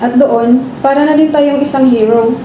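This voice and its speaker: A woman's voice: high voice, steady tone, moderate pace, natural voice. A woman playing a kind, encouraging young student in an amateur radio drama. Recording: echoey room, clear background noise.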